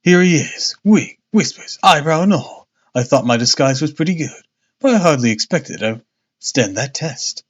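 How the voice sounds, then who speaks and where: A man acting gentleman detective, self-assured, amused, authoritative, audiobook dialogue, dull recording. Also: fairly high pitch, lively intonation, normal speed, husky. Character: famous gentleman detective, confident, amused, commanding.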